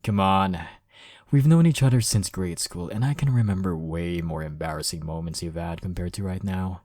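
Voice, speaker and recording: Deep-voiced, cocky flirtatious college rival acted by a man. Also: moderate pace, dry recording, clean studio sound, lightly acted. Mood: low, teasing. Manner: smug, flirtatious, drawling teasing with soft chuckles. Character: cocky, teasing male college rival smitten with listener. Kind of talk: intimate romantic audio roleplay.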